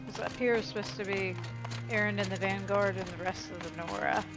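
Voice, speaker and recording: A woman's voice: medium pitch, steady tone, brisk, loud music behind the voice. Easygoing woman doing game commentary. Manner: informal, easygoing. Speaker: gamer, let's play host.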